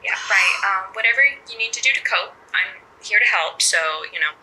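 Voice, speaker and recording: A woman playing an intern's steady future self in an audio drama, counselling in a measured, coaxing way with small hesitations. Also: mid-range voice, slight background noise, rough recording. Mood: guarded, wry. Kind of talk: naturalistic audio drama acting.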